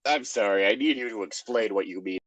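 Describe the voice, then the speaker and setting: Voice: medium pitch, fairly quick, no room sound, lo-fi sound. A podcast co-host in casual comedic banter over fan fiction read aloud, joking and teasing.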